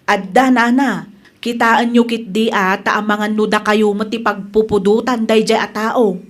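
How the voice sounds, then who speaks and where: An actress with an Ilocano accent playing an inquisitive, caring village wife in a radio comedy drama. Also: female voice, a little high, steady tone, normal speed, lightly acted. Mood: concerned.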